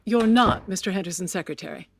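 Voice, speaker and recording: An actress playing a wealthy, haughty 1920s widow with an arch, sardonic manner in an audio drama. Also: female voice, mid-range voice, fast talker, husky. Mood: haughty, suspicious. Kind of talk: period audio drama acting.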